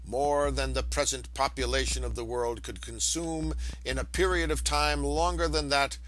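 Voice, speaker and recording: A man reading a lecture aloud in a deliberate, emphatic way. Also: medium pitch, steady tone, fairly bright tone, rough recording. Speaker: reader of an audiobook lecture. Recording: home recording with faint background hum.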